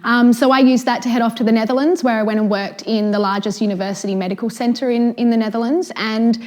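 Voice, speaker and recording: A woman's voice: a little high, steady tone, quick pace, darkish tone. A woman with an Australian accent giving articulate interview answers as a researcher. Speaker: cancer researcher, panel guest.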